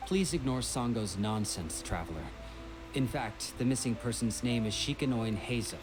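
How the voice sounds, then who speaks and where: A man's voice: mid-range voice, lively intonation, moderate pace, over music, natural voice. An actor playing a polite, earnest, long-suffering young man in a video game, courteous yet exasperated.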